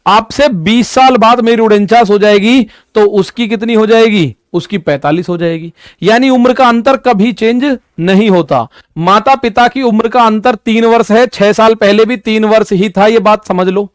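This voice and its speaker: Young male maths tutor, high voice, dry close sound, repeats key points, talks straight to students. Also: normal speed, hardly any pauses, no room sound. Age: 20s. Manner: repetitive, addresses students directly with "ठीक है" and "भाई"; explains with everyday examples. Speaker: maths teacher. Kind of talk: exam-prep maths lesson.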